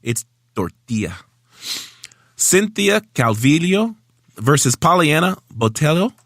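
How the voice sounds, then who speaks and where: Lively, bright-voiced male podcast host. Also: fairly high pitch, takes it slowly, no echo. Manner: joking, stumbles over names with a laugh. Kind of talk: casual chat, reading out a fight card.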